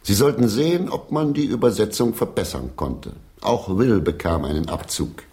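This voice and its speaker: An actor in a radio drama playing a sentimental, grandiloquent old stage player with a declamatory manner. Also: male voice, fairly low pitch, expressive, moderate pace, dark tone. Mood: reminiscing, solemn.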